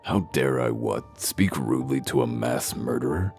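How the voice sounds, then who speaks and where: A man acts out a gruff comic-book wolf detective with a rumbling, bottom-of-the-range voice full of fry. He is foul-mouthed and blunt, interrogating like someone already convinced of guilt. Faint music plays underneath. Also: lively intonation, moderate pace, darkish tone. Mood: accusing, sardonic. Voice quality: very deep, gravelly. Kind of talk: comic dub voice acting.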